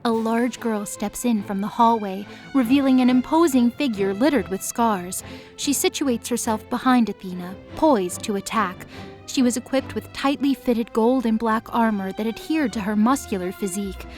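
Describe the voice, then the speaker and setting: A woman's voice: on the high side, normal speed, a little mellow. A woman narrator reading a scripted dramatic story. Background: music bed.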